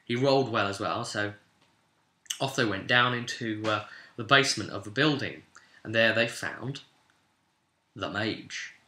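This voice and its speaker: A British man recapping a tabletop role-play session as game master in a chatty, cheeky, animated storytelling style, with casual slang. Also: on the low side, measured pace, long pauses. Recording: roomy, echoing room sound.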